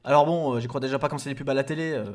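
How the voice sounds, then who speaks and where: Man playing cheeky, fast-talking, unimpressed slacker. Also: medium pitch, fairly even intonation, background noise, lightly acted. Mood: sceptical, sardonic. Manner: hesitant, colloquial, sardonic. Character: cheeky, unimpressed loser haggling with the devil. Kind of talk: scripted comic audio drama.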